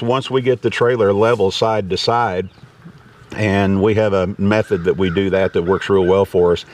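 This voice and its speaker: A man's voice: low-pitched, a little mellow, distant mic. An American man hosting a casual, unscripted gear review in a folksy, rambling way. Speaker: vlog host reviewing gear. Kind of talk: casual unscripted product talk. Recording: clear background noise.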